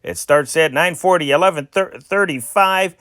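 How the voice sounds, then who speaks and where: Slow, fairly high-voiced man reading old news. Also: fairly bright tone, no room sound, clean studio sound. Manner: halting delivery, stumbles over names. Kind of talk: amateur scripted reading of vintage news and listings.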